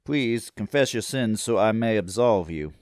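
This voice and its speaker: Man playing a composed low-voiced prison preacher. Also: animated, no echo, studio quality. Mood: pious, solemn. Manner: measured, gently formal, inquisitive. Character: composed, pious male prison preacher hearing confession. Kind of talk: horror audio drama acting.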